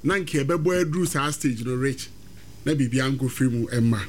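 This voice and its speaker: A man's voice: medium pitch, a little fast, a little hiss or hum. Ghanaian man giving sports opinions. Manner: mixes Twi and English, thoughtful. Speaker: sports pundit. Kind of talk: casual sports chat.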